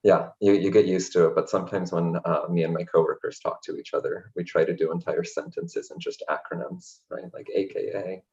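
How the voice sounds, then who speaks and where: A man from Hungary, a guest in an interview, speaking in a relaxed, chatty way with many fillers over a video call. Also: low-pitched, brisk, hardly any pauses, highs cut off. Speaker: interview guest, language access programs director. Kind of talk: casual interview conversation.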